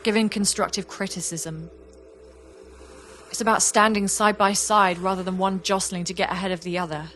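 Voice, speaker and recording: A woman's voice: medium pitch, fairly even intonation, moderate pace, fairly bright tone, slight background noise, natural voice. A woman playing a reflective young artist in an audio play, speaking in an earnest, confessional way. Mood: heartfelt, resolute. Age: young adult. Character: young woman artist, reflective, warm, wistful about an old friend. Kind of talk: audio play monologue and dialogue.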